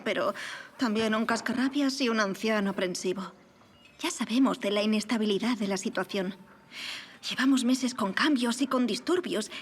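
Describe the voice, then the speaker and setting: A woman's voice: fairly high pitch, breathy edge. A woman acting in an audio drama as a gracious, witty young aristocratic lady, poised and formally polite.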